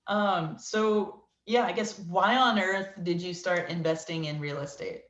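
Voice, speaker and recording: A woman's voice: lowish voice, measured pace. Casual female interviewer in an echoey room. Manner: informal, encouraging, frequent "like". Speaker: interviewer, real estate investor. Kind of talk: casual video interview chat. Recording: echoey, dull lo-fi call audio.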